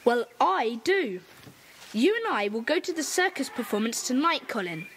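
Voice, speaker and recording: An actor playing a brisk, commanding boy who leads a children's club in an audio drama, on a hissy tape. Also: high voice, big pitch swings, a bit bright, full character voice. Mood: eager. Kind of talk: radio play acting.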